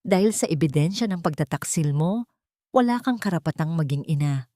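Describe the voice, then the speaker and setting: A woman's voice: low voice, expressive, brisk, warm tone, no room sound, studio quality. A woman voicing a cold, cruel husband who gives commanding orders in a dramatic story. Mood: cold, menacing.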